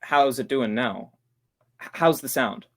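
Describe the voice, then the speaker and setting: A man's voice: mid-range voice, a little slow, natural voice. Man playing an unsure, anxious radio actor. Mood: seeking approval. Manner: unsure, seeking approval. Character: anxious, eager male radio actor at rehearsal. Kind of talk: naturalistic old-time radio drama acting.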